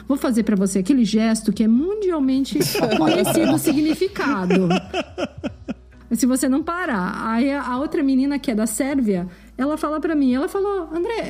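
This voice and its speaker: Frank, animated Brazilian woman. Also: sits high. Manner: animated, frank, swears when annoyed, laughs easily. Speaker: podcast guest. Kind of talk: casual chat.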